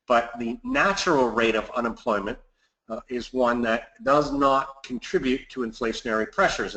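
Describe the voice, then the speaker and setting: A man's voice: medium pitch, fairly bright tone. A man giving a scripted market commentary as a financial advisor hosting a client webinar, recorded in a roomy, echoey space.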